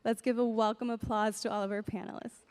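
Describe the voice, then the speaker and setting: A woman's voice: on the high side, flat delivery, fast talker, a little mellow, smooth. A woman host giving an upbeat welcome speech on a conference stage. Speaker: event host. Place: large hall stage. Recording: reverberant hall.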